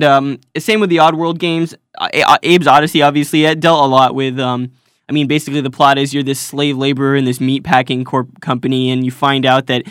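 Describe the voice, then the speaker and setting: Smooth-voiced enthusiastic male host. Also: on the high side, no echo, clean studio sound. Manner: enthusiastic, chatty, many fillers. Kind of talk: casual recorded wrap-up narration.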